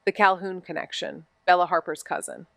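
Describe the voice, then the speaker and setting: A woman's voice: lowish voice, fairly even intonation, fairly quick, creaky, no echo. A woman acting a wry, introspective, guarded amateur investigator in a naturalistic mystery audio drama. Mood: focused, grave. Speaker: actor in a neo-noir mystery podcast.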